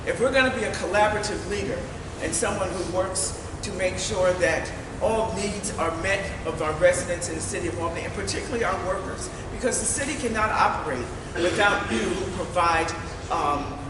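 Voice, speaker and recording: A woman's voice: on the low side, a little fast, few pauses. A woman running for mayor, giving an earnest, emphatic forum speech that repeats phrases for stress. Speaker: mayoral candidate. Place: large meeting hall. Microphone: distant room mic. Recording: echoey hall from far off, noisy.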